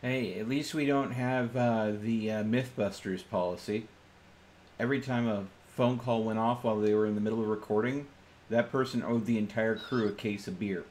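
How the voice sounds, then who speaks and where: A man's voice: fairly low pitch, fairly even intonation, normal speed, a little mellow, a little hiss or hum. A man playing a tabletop roleplaying game on a stream, talking casually in character and bantering with the group.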